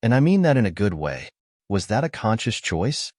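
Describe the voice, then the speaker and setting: Low-voiced man asking interview questions. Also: big pitch swings, normal speed, darkish tone, no room sound, clean studio sound. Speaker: entertainment interviewer. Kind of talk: press junket interview questions.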